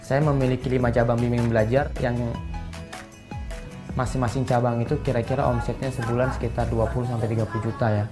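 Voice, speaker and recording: A man's voice: mid-range voice, little pitch movement, quick pace. A twenty-year-old man, explaining in an informal interview style and often tagging phrases with a casual filler. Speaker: young entrepreneur being interviewed. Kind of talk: interview talk, explaining his business. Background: guitar music.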